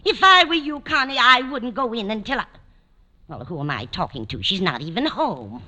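Fluttery, high-voiced older lady. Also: very animated, moderate pace, bright, forceful tone. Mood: fussing, fluttery. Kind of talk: 1950s radio comedy acting. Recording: very noisy, crackly vintage radio.

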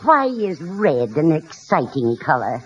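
Inquisitive old grandmother, low for a woman, gushing, animated, dull vintage radio sound. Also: a little slow, over a noisy crowd. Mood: eager. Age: older. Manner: effusive, relentlessly questioning. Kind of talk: 1950s radio comedy acting. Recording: dull, faintly noisy old radio recording.

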